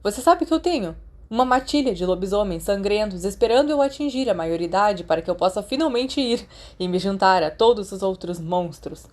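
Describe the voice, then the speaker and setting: A woman's voice: medium pitch, lively intonation, a little fast, lightly acted. Woman doing a moody brazilian teen boy from a storybook, sarcastic and wounded, really leans on the words. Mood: angry, bitter. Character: hot-tempered, wounded, sarcastic teenage boy. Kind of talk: podfic character acting.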